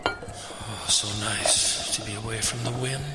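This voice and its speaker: A man playing the cold as a lonely, coaxing male spirit in a horror audio drama, measured and insinuating, in a noisy, echoing recording. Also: on the low side, fairly even intonation. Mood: wistful, eerie.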